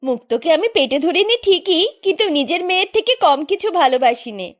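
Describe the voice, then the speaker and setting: Village stepmother, played by a woman: very high pitch, syrupy, soothing, melodramatic cartoon delivery. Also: animated, a little fast. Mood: sugary reassurance. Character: sugary, falsely devoted village stepmother.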